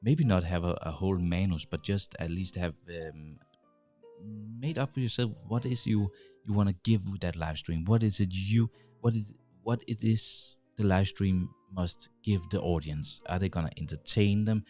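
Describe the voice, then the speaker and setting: Deep-voiced Danish man talking to camera. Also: mellow, soft background music. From Denmark. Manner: halting, many restarts and fillers, gestures while talking. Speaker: host. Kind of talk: casual talk to camera. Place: small home studio with dim lights. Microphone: large studio mic on boom arm, headphones.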